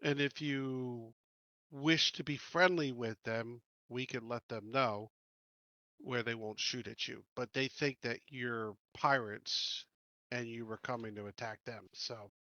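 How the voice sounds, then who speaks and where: Man, tabletop player, medium pitch, halting measured delivery, casual roleplay, dry muffled recording. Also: steady tone, sharp tone, no room sound.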